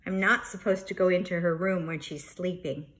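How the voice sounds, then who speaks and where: A woman's voice: lowish voice, steady tone, normal speed, fairly bright tone, close to an everyday voice. A woman voicing a young boy bear, a sensitive and earnest big brother, in an emphatic storybook reading. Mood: sulky, cautious.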